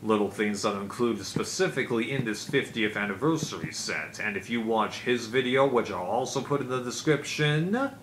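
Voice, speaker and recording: A man's voice: lowish voice, expressive, moderate pace, hardly any pauses, a bit bright. A man giving a casual, unscripted review as a video host, explaining things in a rambling way. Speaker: video host, DVD collector. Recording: clear background noise.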